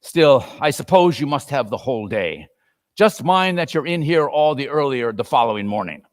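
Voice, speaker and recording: A stern, tight-fisted businessman, acted by a man in a dramatic stage reading. He is curt and grumbling, scolding with a lively swing in pitch, and his voice rings through a reverberant hall. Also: moderate pace, clean studio sound, natural voice. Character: stern, miserly businessman employer, grudging and curt.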